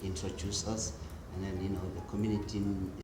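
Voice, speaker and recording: A man with a South African accent, a bassist hosting a casual interview, halting and repeating his words. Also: level tone. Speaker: host bassist. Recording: echoey room with music behind.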